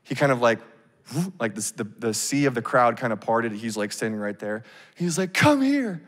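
A man in his thirties preaching on a church stage into a handheld mic, telling a story in a casual, animated way. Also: mid-range voice, normal speed. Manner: animated, acts out scenes, casual fillers. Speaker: pastor. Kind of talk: sermon storytelling. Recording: long reverb of a big hall.

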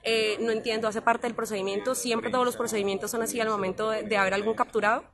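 A woman's voice: a little high, steady tone, fast, fairly bright tone. A woman with a Colombian accent, a reporter putting challenging questions at an outdoor press scrum with people around. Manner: insistent, challenging. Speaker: news reporter.

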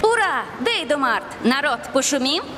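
A woman's voice: high-pitched, very animated, moderate pace, heavy background noise. A woman voicing a peppy young promoter making upbeat store-opening announcements in a cartoon, with long public-address reverb.

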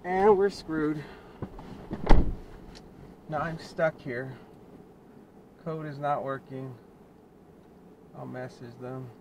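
A man's voice: a little high, steady tone, very slow, long pauses. A man, a delivery driver, narrating to his viewers while he drives, muttering in exasperation about his troubles. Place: inside a car. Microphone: dash cam in the car. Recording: car noise behind.

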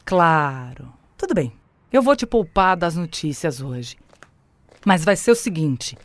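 An actress playing a bossy, menacing artificial intelligence in an audio drama, mock-sweet, sarcastic and commanding. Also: female voice, low voice, big pitch swings, takes it slowly, dry recording, full character voice.

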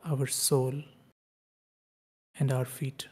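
Male meditation guide, soft dark tone with little pitch movement, unhurried short instructions separated by long pauses. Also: slightly rough. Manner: slow, calm, spaced-out short phrases with long pauses.

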